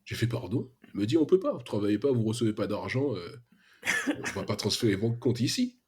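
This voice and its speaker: A man's voice: lowish voice, lively intonation, a little fast, slight background noise. A young man from Martinique with an Antillean accent, telling his story casually in a podcast interview, with lots of hesitations and slang. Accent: Antillean French. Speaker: podcast guest, freelance web developer.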